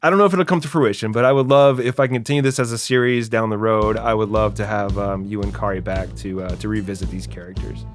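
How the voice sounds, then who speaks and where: A man's voice: on the low side, steady tone, fast, hardly any pauses, soft background music. A man hosting a podcast interview in a casual, earnest and appreciative manner. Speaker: podcast host and writer.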